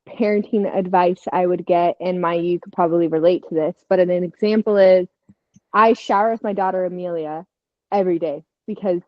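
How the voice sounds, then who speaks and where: A woman's voice: medium pitch, no room sound. Candid young woman on dull lo-fi audio. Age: 20s. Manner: candid, storytelling. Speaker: podcast co-host. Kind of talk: casual chat.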